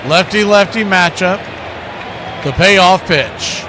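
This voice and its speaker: A man's voice: sits high, slow, noisy background. A man doing live baseball play-by-play, mixing clipped calls with conversational analysis. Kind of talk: live baseball radio commentary.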